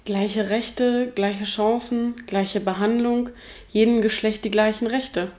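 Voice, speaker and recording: A woman reading scripted documentary narration, clear and even, with rhetorical questions. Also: medium pitch, takes it slowly.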